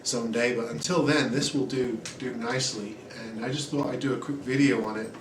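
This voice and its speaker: Male, 40s, casual home gear reviewer, mid-pitched, rambling delivery, distant camera audio with room echo. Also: brisk, a little hiss or hum. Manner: relaxed, rambling, many ums and uhs. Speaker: guitar reviewer. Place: small room at home, indoors. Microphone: camera mic at a distance. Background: acoustic guitar playing.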